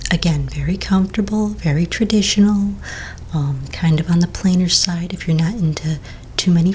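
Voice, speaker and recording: A woman plays a friendly vampire saleswoman in an ASMR roleplay, speaking in a very low, breathy near-whisper. She is gentle, patient and reassuring, taking her time with each phrase. A low rumbling noise sits behind the close-up voice. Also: lightly acted. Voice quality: soft, breathy, mostly whispered.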